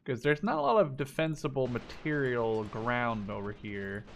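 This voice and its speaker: Young man gaming, wildly swinging pitch. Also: normal speed, creaky. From Netherlands. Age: 20s. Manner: excitable, exclaiming and shouting. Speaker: gaming video host and player. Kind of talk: casual gameplay chat and banter. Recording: game gunfire and artillery noise behind. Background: gunfire and artillery from a war game.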